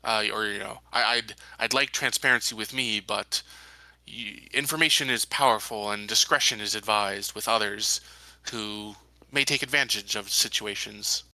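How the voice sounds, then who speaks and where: A man playing a guarded, authoritative colony security chief in a tabletop roleplay, talking in a measured, careful, official way. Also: fairly low pitch, sharp tone, no echo, poor audio. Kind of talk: improvised tabletop roleplay dialogue.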